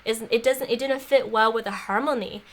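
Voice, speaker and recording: A young woman talking in a casual interview, thoughtful and warm, laughing often and using plenty of fillers. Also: on the high side, steady tone, brisk. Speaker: guest, former apprentice. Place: makeshift home studio on an island.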